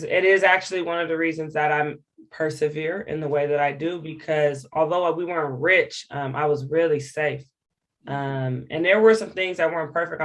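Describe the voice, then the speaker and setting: An American woman from Hawaii speaking candidly and informally as an interview guest, earnest and emphatic, repeating words for stress. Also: low-pitched. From Hawaii, United States. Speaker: interview guest, education program director.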